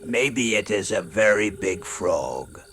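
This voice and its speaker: Big orc brute, played by a man, low and unhurried, boastful and blunt, fantasy audio drama with clear swamp-night noise. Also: lively intonation, a bit bright. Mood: blithely comic. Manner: blustering, blunt.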